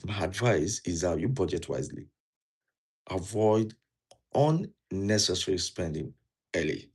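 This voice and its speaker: A man's voice: deep voice, animated, a little slow, darkish tone, breathy edge. A man from Nigeria with a Nigerian accent, giving earnest, repetitive advice to viewers in a casual talk. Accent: Nigerian English. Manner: earnest, repeats key points, asks the viewer questions. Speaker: vlogger giving immigration advice. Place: indoors, wearing a jacket.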